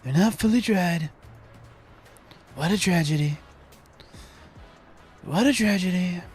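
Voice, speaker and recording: A man's voice: sits high, slow pace, a little mellow, husky, faint music behind. A young man giving casual gameplay commentary, muttering to himself, humming and repeating phrases. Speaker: gaming streamer.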